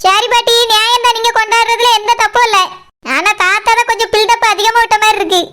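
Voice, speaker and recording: A woman's voice: very high voice, fairly even intonation, fast, bright, forceful tone. A woman playing a curious, cheeky, affectionate young grandchild in a comic cartoon, with a Kanyakumari Tamil accent.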